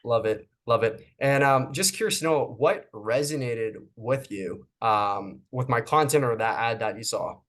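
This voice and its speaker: A man's voice: medium pitch, a little slow, few pauses, a bit bright. A man hosts a casual interview as a fitness coach. He sounds friendly and encouraging, filling his questions with "um" and little restarts.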